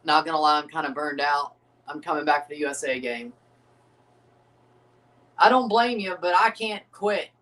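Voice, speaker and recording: A man's voice: fairly high pitch, normal speed, long pauses, distant mic. Rambling streamer man, a bit off-mic. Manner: rambling, excitable, repeats himself. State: voice getting scratchy from overuse. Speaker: streamer hosting a match watchalong. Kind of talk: casual livestream chat.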